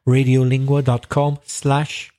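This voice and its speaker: Relaxed male podcast co-host. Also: mid-range voice, slow. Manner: relaxed, reads listener emails aloud. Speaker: podcast co-host and English teacher. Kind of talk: casual podcast chat.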